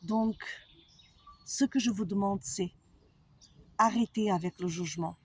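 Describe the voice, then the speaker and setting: A woman with a foreign accent, a holistic therapist talking to her followers in a slow, halting way with many pauses and fillers. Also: mid-range voice, a little mellow, husky. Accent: foreign accent in French. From abroad, not France. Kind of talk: personal monologue to followers.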